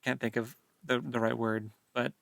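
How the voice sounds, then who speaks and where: A man co-hosting a book discussion podcast, chatting casually and thinking aloud in a halting, colloquial way. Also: medium pitch, steady tone, vocal fry, no echo. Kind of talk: casual chat about a novel.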